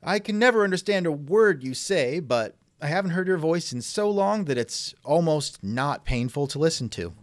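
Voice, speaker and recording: A man's voice: a little high, animated, moderate pace, hardly any pauses, no room sound. A man hosting a scripted podcast interview with a dry, sardonic manner, close on the microphone.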